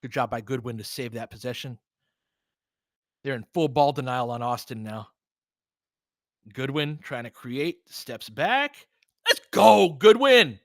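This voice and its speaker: A man giving informal, partisan live basketball commentary as host, excitable, with whoops and casual swearing. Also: medium pitch, a little slow, long pauses, no echo, studio quality.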